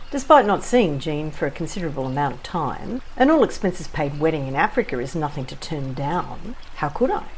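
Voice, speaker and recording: Female actor as whimsical, self-satisfied woman, audio drama narrator. Lower register, theatrical and wry, light noisy ambience. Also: darkish tone. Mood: wistful, grandiose. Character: self-satisfied, whimsical Australian woman recalling a wedding trip. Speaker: narrator and lead of an audio play. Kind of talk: scripted first-person storytelling.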